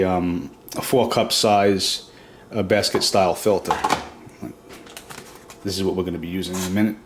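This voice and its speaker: A man's voice: low-pitched, background noise. Man with a stuffy nasal cold. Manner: plain step-by-step explaining with fillers. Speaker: product demo host. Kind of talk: casual how-to demonstration. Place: reverberant room at home.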